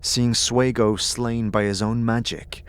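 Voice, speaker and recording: A man's voice: mid-range voice, level tone, takes it slowly, clear, resonant tone. A man reading scripted second-person fantasy narration in a dramatic style, as the narrator of an audio drama. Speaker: narrator and game master. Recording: loud music under the voice. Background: loud atmospheric music.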